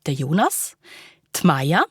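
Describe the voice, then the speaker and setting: A woman narrator telling a children's story in a playful, colloquial, expressive way. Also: low voice, slow, slightly rough, dry recording, studio quality.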